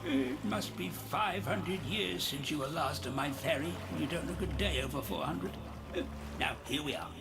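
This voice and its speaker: A world-weary ferryman of the dead for a video game. The voice is raised a little and crackles with gravel, grand yet chummy and grumbling. Loud music runs behind it. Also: fairly high pitch, expressive, normal speed. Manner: theatrical greeting sliding into chummy grumbling. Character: chatty undead ferryman of lost souls, world-weary. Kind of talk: video game character acting.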